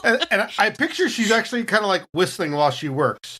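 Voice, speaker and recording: A woman's voice: medium pitch, big pitch swings, brisk, a bit bright. A woman playing a tabletop game, casually and wryly thinking through what her character does.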